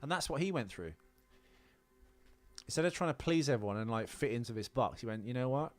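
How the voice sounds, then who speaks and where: A man in his forties chats casually as a podcast co-host. He talks very quickly and rambles from thought to thought, in a mid-range voice. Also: medium pitch, expressive, no room sound, soft background music. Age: 40s.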